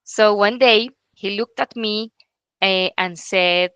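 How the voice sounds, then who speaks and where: Woman, Colombian Spanish accent, native Spanish speaker, young adult, hesitant with fillers, smooth voice, slightly muffled dry audio. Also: mid-range voice, slow pace, fairly bright tone, no room sound. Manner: hesitant, many filler sounds. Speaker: speaker giving a talk. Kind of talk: personal storytelling talk. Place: stage talk.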